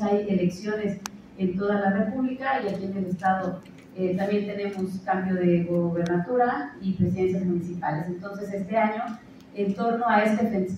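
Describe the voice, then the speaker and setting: A woman with a Mexican accent speaks into a handheld mic at a press conference table, filling her pauses with "eh" as she stays formal and steady. Her mellow voice is picked up from far off and sounds a little dull. A long echo from the hall follows every phrase. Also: mid-range voice, slight background noise. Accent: Mexican Spanish. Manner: steady formal delivery with frequent "eh" fillers. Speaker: chamber president announcing an event. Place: large indoor conference hall. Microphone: handheld mic at a panel table, filmed from a far camera.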